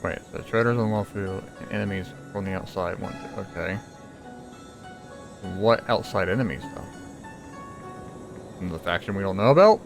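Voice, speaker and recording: A man with a fairly low voice talks casually as he plays a video game. He thinks aloud in an informal, sometimes sweary way, while clear game music plays behind him. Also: lively intonation, moderate pace, long pauses. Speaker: gamer recording a playthrough. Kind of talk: casual reactive gameplay commentary.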